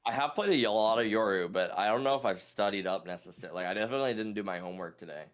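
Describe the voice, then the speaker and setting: A man's voice: on the low side, very fast, a little hiss or hum. A man chatting casually as a quiz contestant, thinking aloud and hesitantly reasoning through his guesses.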